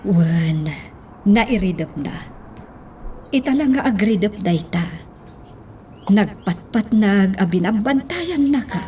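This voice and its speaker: Woman acting a caring Ilocano mother, medium-pitched. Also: animated, slow pace, pauses often, mellow. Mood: reassuring. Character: caring, reassuring mother of a sick young woman. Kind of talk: radio drama acting. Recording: faint birdsong and background noise.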